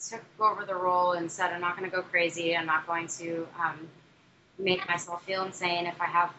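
A woman's voice: lowish voice, pushed and bright. A young adult woman, a musical theatre actress, answering interview questions in a chatty way, with many fillers and restarts. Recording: dull muffled recording with faint noise.

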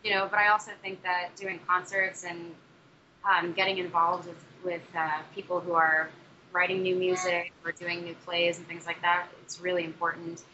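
Bright-voiced young actress, chatty and muffled. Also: female voice, fairly low pitch, level tone, moderate pace. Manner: chatty, many fillers and restarts. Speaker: musical theatre actress, interview guest. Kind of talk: casual interview answers. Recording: dull muffled recording with faint noise.

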